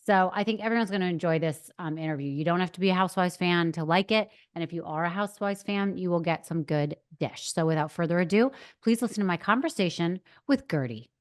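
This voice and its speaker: Host: a chatty, enthusiastic woman with a smooth, resonant voice. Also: lowish voice, fast talker, hardly any pauses. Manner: chatty and enthusiastic, many fillers. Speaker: podcast host. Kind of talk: podcast interview and intro. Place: studio in Boca.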